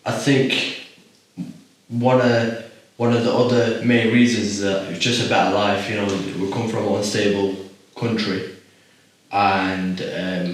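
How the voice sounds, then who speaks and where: A man in his twenties from Iraq, talking calmly and reflectively as a podcast guest, with frequent ums. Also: fairly low pitch, heavy reverb, recorded from a distance, rough recording. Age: 20s. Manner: calm, reflective, many ums. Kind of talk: casual interview.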